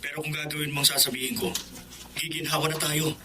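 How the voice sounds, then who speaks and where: An actor playing a manipulative young boyfriend in a Tagalog radio drama, cool and coaxing, with people chattering behind him. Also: male voice, fairly high pitch, level tone, fast, a loud crowd, lightly acted. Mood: coaxing, scheming.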